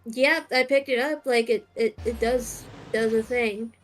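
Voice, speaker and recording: Young woman streamer, slow and halting, lots of ums, higher voice, bit of music under it. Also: steady tone. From United States. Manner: hesitant, many fillers and restarts. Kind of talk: casual chat.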